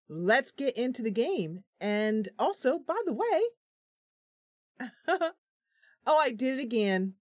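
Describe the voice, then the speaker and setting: Unhurried giggly woman streamer, dry close sound. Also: mid-range voice, dry recording. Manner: chatty, giggly, self-deprecating. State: tired. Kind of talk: casual live-stream chat. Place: home room at computer.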